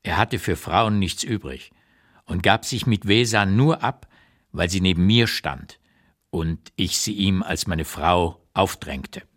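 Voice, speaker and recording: A man reading a first-person literary memoir for radio in an expressive, articulate storytelling manner. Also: sits low, slow. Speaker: literary reader narrating a writer's memoir.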